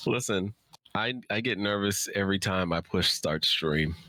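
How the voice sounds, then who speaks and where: A man's voice: low voice, normal speed, pushed and bright, no echo. A man hosting a casual livestream, chatting in a playful, informal, bantering way. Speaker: livestream host introducing a guest.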